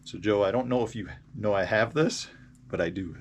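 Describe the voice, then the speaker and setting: Low-voiced American man, noisy recording. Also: moderate pace. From Indiana, United States. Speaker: host of a fishing channel. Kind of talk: casual vlog storytelling about fishing gear. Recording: clear background noise.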